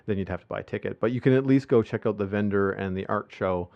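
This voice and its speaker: Male podcast host, gravelly and rambling, on dull lo-fi audio. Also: on the low side, quick pace. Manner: rambling, many fillers. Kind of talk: casual podcast chat.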